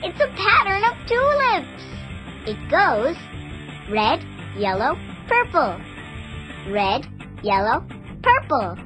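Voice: high-pitched, huge pitch swings, slow, long pauses, over music, exaggerated voice, poor audio. A child-voiced performer playing a cheerful, encouraging little girl who coaches young players in a sing-song, exclamatory way in an educational cartoon game.

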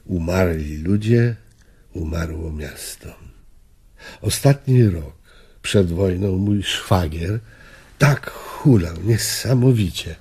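An actor playing a weary, melancholy old man in a radio drama, with a husky voice. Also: low-pitched, animated, slow, darkish tone, exaggerated voice. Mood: bleak melancholy. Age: older.

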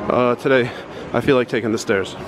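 Guy in his 30s walking and talking you through directions, handheld cam, loud traffic behind. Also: medium pitch, fairly even intonation, brisk. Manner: plain, instructive, pointing the way while walking. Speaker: vlogger giving walking directions. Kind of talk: casual walking guide. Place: indoor casino halls and an outdoor sidewalk by a highway. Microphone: handheld camera at arm's length.

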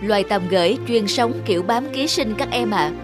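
Measured, stern cartoon schoolteacher, voiced by a woman. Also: mid-range voice, close to an everyday voice. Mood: instructive. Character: stern, disappointed female schoolteacher. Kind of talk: animated cartoon dialogue. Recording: music bed under the voice. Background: background music.

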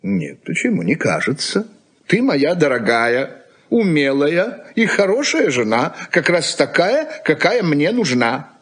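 A man's voice: a little high, very animated, a little slow, a bit bright, breathy edge, full character voice. An actor playing an irritable, practical middle-aged husband and father in a Soviet radio play, lecturing in a condescending way. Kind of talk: Soviet radio drama acting.

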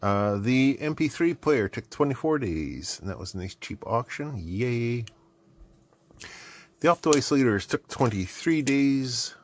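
A man's voice: mid-range voice, expressive, a little slow. A middle-aged man with a Canadian accent, narrating casually and unscripted at his workbench in a rambling, self-deprecating way. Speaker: host of an electronics hobby video series. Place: indoor hobby electronics workbench. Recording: clearly noisy background with clicks.

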